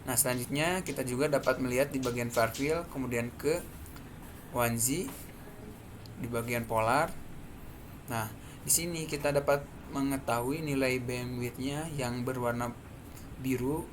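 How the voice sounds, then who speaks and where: Seorang pria muda berlogat Indonesia menjelaskan demonstrasi perangkat lunak sebagai mahasiswa presenter, bicaranya tersendat-sendat. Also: male voice, medium pitch, measured pace, pauses often. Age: young adult. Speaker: student presenter. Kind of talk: explanatory software demonstration. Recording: clearly noisy background.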